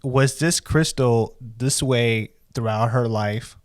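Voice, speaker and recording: A man's voice: medium pitch, takes it slowly. A man hosting a casual podcast interview, asking a string of short probing questions. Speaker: podcast host.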